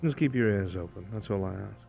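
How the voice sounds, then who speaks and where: Man playing a low-voiced commanding fantasy leader. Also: fast, gravelly, dark tone, soft background music. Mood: quiet, protective. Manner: terse, giving orders. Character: commanding, protective man, self-assured dangerous leader of hunters. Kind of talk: live improvised fantasy roleplay.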